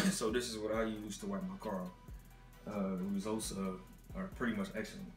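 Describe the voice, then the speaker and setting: A man's voice: fairly low pitch, level tone, moderate pace, sharp tone, roomy sound, soft background music. Brief: young man rambling about car products. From Texas. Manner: rambling, filler-heavy, laid-back. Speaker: vlogger reviewing car care products. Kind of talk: casual product rundown. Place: dim apartment living room, indoors. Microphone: laptop built-in mic.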